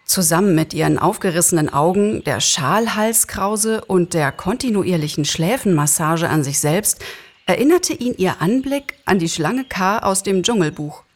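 A woman reads a scripted story as narrator in a live performance. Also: fairly low pitch. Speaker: author and narrator, actress. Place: small theatre, live recording.

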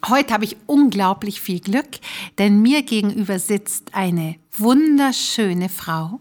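A woman's voice: medium pitch, expressive, slow pace, soft background music. A woman hosting a conversational interview in an effusive manner. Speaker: podcast host. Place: small theatre, live recording.

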